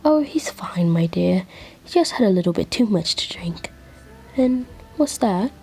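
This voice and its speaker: A woman voicing a gentle, fussy male angel in a fan roleplay, with breathy, genteel, fretful endearments. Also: mid-range voice, animated, measured pace. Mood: concerned, gentle.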